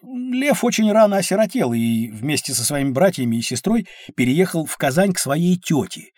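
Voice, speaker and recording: An actor playing a patient, learned storyteller who explains things expressively in an educational children's audio drama. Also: male voice, fairly high pitch, sing-song, normal speed, a little mellow, no echo, clean studio sound. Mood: explaining. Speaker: narrator-teacher.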